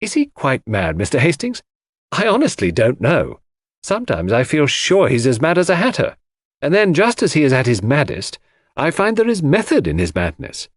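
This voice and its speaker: Man acting an amused lady, animated pitch. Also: normal speed, no room sound. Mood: amused, puzzled. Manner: exclamatory, questioning.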